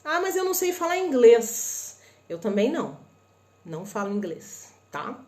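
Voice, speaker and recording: A woman with a northern Paraná Brazilian accent explains things to viewers in a chatty live stream, often checking in with them and asking quick rhetorical questions. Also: a little high, reverberant. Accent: northern Paraná Brazilian Portuguese. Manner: chatty and animated, addresses viewers as "gente", many tag questions. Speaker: host of a live stream on shopping imports.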